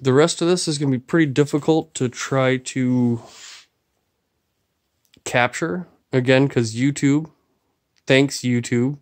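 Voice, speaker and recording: A man's voice: medium pitch, fairly even intonation, takes it slowly, pauses often. A man with a nasal, stuffy voice from a cold, casually rambling through a tour of his cluttered garage workshop on a handheld phone. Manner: rambling, many fillers. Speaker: vlogger. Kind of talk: casual shop tour vlog. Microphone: handheld phone camera.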